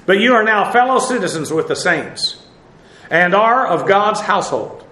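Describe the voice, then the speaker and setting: A man preaching a verse-by-verse sermon, teaching in a measured way, with frequent fillers and restarts. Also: sits high, sharp tone. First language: English. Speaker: pastor. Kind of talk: expository sermon. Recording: echoey room.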